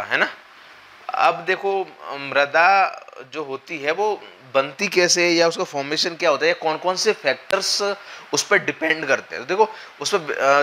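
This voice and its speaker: A young man with a slightly hoarse voice from a cold, giving a whiteboard lecture to exam students on a lapel mic, explaining step by step with frequent check-ins. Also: a little high, bright, forceful tone, a little hiss or hum. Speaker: exam coaching teacher. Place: classroom at a whiteboard, indoors.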